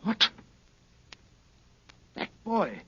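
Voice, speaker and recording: Sardonic, self-assured male detective, bright urbane delivery, noisy old radio drama recording. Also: very slow, muffled recording, full character voice. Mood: dry, assured. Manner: urbane, dry, commanding. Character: coolly confident, sardonic male gentleman detective. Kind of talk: 1930s radio drama acting.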